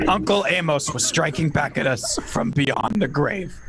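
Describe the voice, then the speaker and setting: A man's voice: a little high, measured pace, loud music behind the voice. A man playing a skeptical man who narrates an eerie murder mystery in a dramatic old-time radio style. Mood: eerie, grave.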